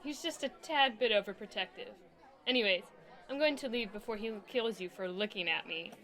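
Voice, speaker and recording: A woman's voice: mid-range voice, fairly quick, a bit bright, a faint crowd, natural voice. A woman with an American accent acting a friendly, breezy young twin sister in a comedy audio drama.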